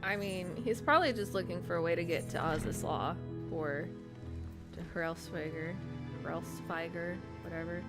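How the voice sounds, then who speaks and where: A woman's voice: fairly low pitch, normal speed. Casual woman gamer over prominent music. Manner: casual, chatty, muttering to herself. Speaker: streamer playing and voice-acting a fantasy game's dialogue. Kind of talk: gaming stream, reading character dialogue aloud with reactions. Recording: music playing behind the voice. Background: game music.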